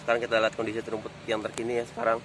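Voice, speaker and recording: Man, relaxed walk-and-talk commentary, outdoor phone recording, faint site noise. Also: fairly low pitch, racing, rough recording. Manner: relaxed, pointing things out as he walks. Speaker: vlogger giving a construction site tour. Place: outdoors on a stadium pitch under construction. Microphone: phone camera held low while walking.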